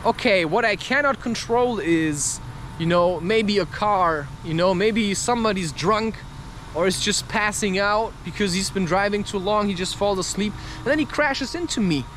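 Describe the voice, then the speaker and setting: Male podcaster, high-register voice, off-the-cuff and musing delivery, recorded outdoors with faint vehicle noise. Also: normal speed, a bit bright. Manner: musing, loose, frequent rhetorical questions. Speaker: podcast host. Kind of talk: rambling spontaneous monologue.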